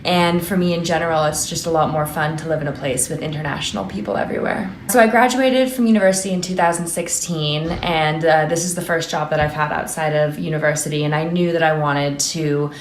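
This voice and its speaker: An American woman in her twenties speaking about her job in a calm, measured way. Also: deep voice, a little hiss or hum. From United States. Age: 20s. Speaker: data specialist. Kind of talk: casual testimonial. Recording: echoey room, faint ambience.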